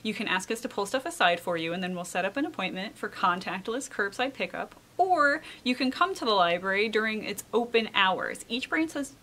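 A woman's voice: medium pitch, a bit bright. A woman in her thirties presenting a library tour as a children's librarian, enthusiastic and emphatic. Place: indoors in a public library.

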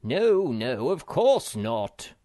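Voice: mid-range voice, sing-song, drawn-out words, mellow, no echo, studio quality, pitched above the performer's own voice. Reader doing a genial, pompous Moomin Papa.

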